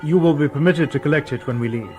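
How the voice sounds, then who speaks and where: Polite, unemotional humanoid robot cop played by a man, measured phrases, lightly high register, music underneath. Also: darkish tone, close to an everyday voice. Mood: calm, firm. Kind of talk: old-time radio drama acting.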